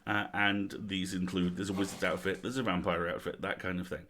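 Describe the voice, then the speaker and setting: Livestream host: lowish male voice, informal, wry, given to playful jokes. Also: flat delivery, fairly quick, a bit bright, a little hiss or hum. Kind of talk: casual livestream chat.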